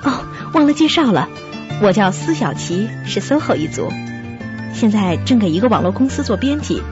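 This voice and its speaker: Pensive young narrator voiced by a woman, uneasy, expressive intonation, medium pitch, mystery radio drama, clear music bed. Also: normal speed, lightly acted. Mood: reflective, uneasy. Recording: dull lo-fi audio.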